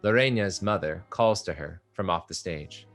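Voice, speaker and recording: A man calmly reading stage directions aloud at a play table read. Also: sits low, moderate pace, faint music behind. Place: art exhibition theater area.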